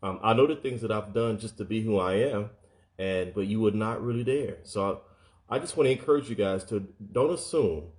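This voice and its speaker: Male motivational speaker, earnest and encouraging, lowish voice, repeats for emphasis. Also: a little mellow. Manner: earnest, repeats words, addresses listeners directly.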